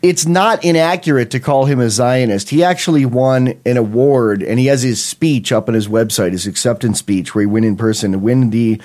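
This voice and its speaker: Man talking casual politics, rambling and halting. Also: mid-range voice, darkish tone. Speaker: co-host of a political talk show.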